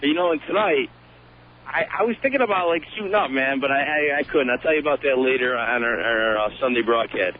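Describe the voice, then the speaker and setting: A man's voice: mid-range voice, brisk, slight background noise. Chatty man on a tinny phone line. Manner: chatty, joking, stumbling restarts. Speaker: radio sports commentator. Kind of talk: casual radio chat.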